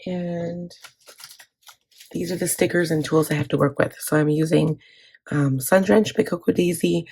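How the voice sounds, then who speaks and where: Woman, scratchy voice, gravelly, chatty with fillers and restarts. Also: fairly low pitch, steady tone, normal speed, a little mellow. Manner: chatty, filler-heavy, self-correcting. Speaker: planner vlogger. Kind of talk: casual chat.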